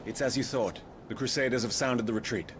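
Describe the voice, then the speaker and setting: An actor playing a composed, dutiful soldier in a video game, with a brisk, dry, articulate delivery. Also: male voice, mid-range voice, background noise, close to an everyday voice. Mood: urgent report. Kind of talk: dramatic video game acting.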